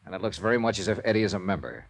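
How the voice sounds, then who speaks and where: An American actor playing a tough, steady insurance investigator in a 1950s radio drama, with clipped, matter-of-fact delivery. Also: male voice, low-pitched, very fast, gravelly, no room sound. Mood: grave, firm. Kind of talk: 1950s radio detective acting.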